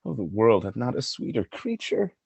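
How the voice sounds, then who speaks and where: Husky, tormented jealous general acted by a man. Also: medium pitch, sing-song, moderate pace, no echo, full character voice. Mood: brooding anguish. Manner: dramatic, swinging between brooding and outcry. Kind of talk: Shakespearean radio drama acting.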